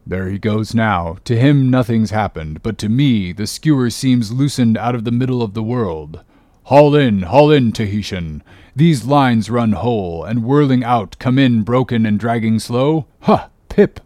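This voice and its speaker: A man's voice: medium pitch, expressive, a little slow, dark tone, dry recording, lightly acted. A man voicing a weary, wry old sailor in an audiobook, muttering and grumbling. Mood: bewildered, urgent. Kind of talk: audiobook character reading.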